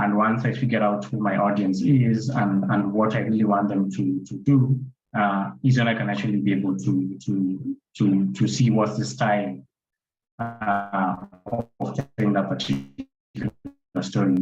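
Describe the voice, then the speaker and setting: A man's voice: lowish voice, flat delivery, normal speed, a little mellow. A man with a Kenyan accent explaining his approach as a panelist, repeating points for emphasis and posing rhetorical questions. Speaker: conservation photographer and filmmaker, panelist. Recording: dull, roomy online-call audio.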